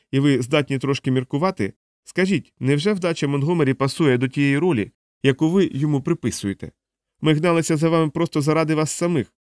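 A man's voice: a little high, darkish tone, no room sound, studio quality, pitched above the performer's own voice, natural voice. A man voicing a resolute, commanding scientist who lectures and reasons insistently in an audiobook story. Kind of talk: audiobook character dialogue.

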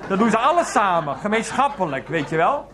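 Harried, evasive household man, radio play; hesitant, placating, lively pitch swings, background babble. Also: brisk, a crowd in the background. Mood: sarcastic. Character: flustered, evasive man, Freek, juggling his household. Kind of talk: 1990s radio drama acting.

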